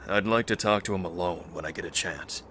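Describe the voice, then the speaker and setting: A man playing a calm, learned, considerate survivor in an audio drama, speaking formally and carefully. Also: deep voice, brisk, a little hiss or hum. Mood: gentle, concerned.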